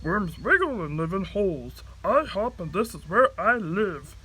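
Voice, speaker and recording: A fat, hearty frog from a storybook talks slowly and loudly, as a woman puts him on. The voice is broad and unhurried. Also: medium pitch, sing-song, slightly rough, heavily acted, low-quality recording.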